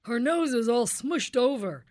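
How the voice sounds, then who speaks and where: A woman playing a deadpan, dogged hard-boiled police detective in a comic radio play, speaking in a clipped way. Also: a little high, measured pace. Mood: dry, official. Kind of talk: Dragnet-style comic radio play acting.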